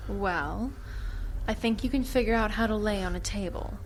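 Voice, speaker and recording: A woman's voice: medium pitch, moderate pace, natural voice, poor audio. A woman playing a cool, shrewd government agent in an audio drama, with a controlled, sardonic, evasive manner. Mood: assured, dry. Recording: clear ambient noise behind dialogue.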